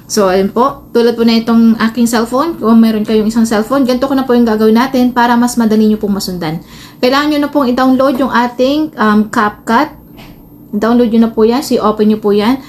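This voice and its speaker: A woman's voice: a little high, a little fast, a little mellow. A Filipino woman giving a casual step-by-step tutorial, explaining informally with some hesitation. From Philippines. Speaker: tutorial host, vlogger. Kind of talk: casual spoken phone tutorial. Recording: noisy amateur phone recording.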